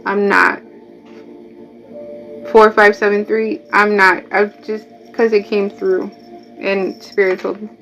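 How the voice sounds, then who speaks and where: A woman's voice: medium pitch, flat delivery, slow, long pauses, a bit bright. A woman from Mexico with a Mexican Spanish accent, a native Spanish speaker, giving a chatty live tarot reading and drifting into asides. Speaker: tarot reader. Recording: dull lo-fi audio, faint music.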